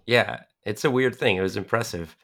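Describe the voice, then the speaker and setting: Deep-voiced, enthusiastic male interviewer. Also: normal speed, gravelly, fairly bright tone. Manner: enthusiastic, chatty, uses "like" a lot. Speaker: podcast host. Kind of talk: casual interview chat.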